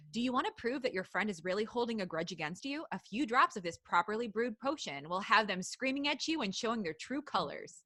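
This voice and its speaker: A woman co-host reads a fan story aloud on a live podcast, pausing for laughing asides and chatter with her co-hosts. Her voice is bright and a little tense, recorded dry and close with no room sound. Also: mid-range voice, dry recording. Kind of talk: live podcast reading and casual chat.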